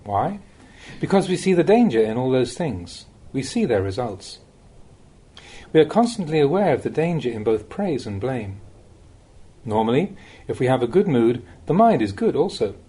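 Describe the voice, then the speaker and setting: A man reads a Dhamma talk aloud in a dark, mellow tone with some huskiness. His phrasing is measured, yet his intonation moves widely and expressively, giving the teaching the feel of a thoughtful spoken audiobook. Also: medium pitch, big pitch swings, breathy edge. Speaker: monk reading Buddhist teachings.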